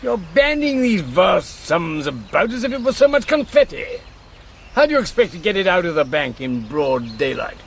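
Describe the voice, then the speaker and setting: An actor playing a courteous, sceptical older colonel turned crook in a radio drama, with a gentlemanly, questioning manner. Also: male voice, high voice, sing-song, normal speed, a little hiss or hum. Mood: wary, doubtful.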